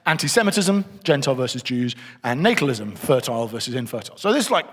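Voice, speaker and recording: A man's voice: medium pitch, sing-song, fast talker. Man teaching in a reverberant church hall. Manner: conversational, thinking aloud with restarts. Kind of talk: church teaching session with slides.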